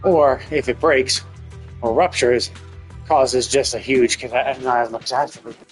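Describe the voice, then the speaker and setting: A man's voice: mid-range voice, measured pace, rough recording. A man streaming a game, giving casual, rambling commentary as he thinks aloud and swears freely. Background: game music.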